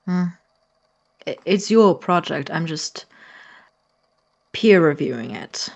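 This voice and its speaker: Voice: mid-range voice, expressive, slow pace, creaky, dull audio, full character voice. An actor voicing a wary, sceptical young friend of a scientist in an audio drama, questioning and reserved.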